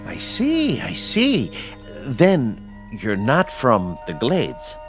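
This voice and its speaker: A man's voice: mid-range voice, wildly swooping pitch, extremely slow, faint music behind, exaggerated voice. A man acting in a radio drama as a genteel, fussy, unflappable caretaker with courteous, old-fashioned manners. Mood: mildly curious.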